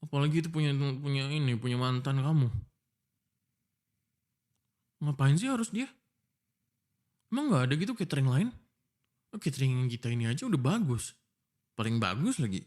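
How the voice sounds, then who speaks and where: A man's voice: medium pitch, animated, normal speed, long pauses, darkish tone, close to an everyday voice. A man acting an exasperated, protective young fiancé in a boyfriend ASMR roleplay, pleading and scolding informally. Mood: annoyed, suspicious. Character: exasperated, protective young fiancé arguing before his wedding. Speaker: voice actor. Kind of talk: boyfriend ASMR roleplay, one-sided dialogue.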